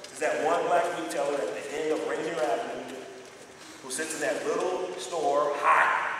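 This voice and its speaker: A loud-voiced man in his forties from Northern California, giving an energetic talk that quizzes a live conference audience. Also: a little high. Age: 40s. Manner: energetic, quizzes the crowd directly. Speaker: guest speaker. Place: large conference hall. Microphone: distant mic picking up the room. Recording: echoey hall from far off, faint hiss.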